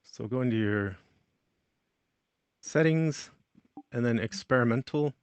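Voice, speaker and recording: A man's voice: mid-range voice, a little slow, smooth, no room sound. A man hosting a casual tutorial, somewhat hesitant as he thinks aloud. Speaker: tutorial host.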